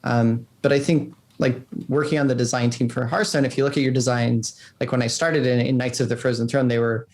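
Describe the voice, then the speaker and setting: A man's voice: medium pitch, steady tone, a little fast, few pauses, a little mellow. A man being interviewed as a game designer, talking casually in rambling explanations peppered with "like".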